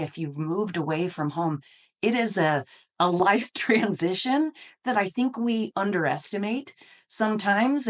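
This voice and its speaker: A middle-aged American woman giving earnest, explanatory answers as an interview guest. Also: low-pitched. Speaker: university dean, interview guest.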